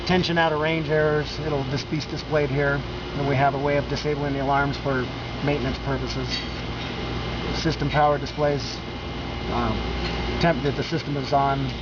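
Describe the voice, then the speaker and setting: A man calmly explaining industrial equipment step by step in a product demonstration, matter-of-fact and a little halting. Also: fairly high pitch. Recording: dull lo-fi camcorder audio with loud background noise.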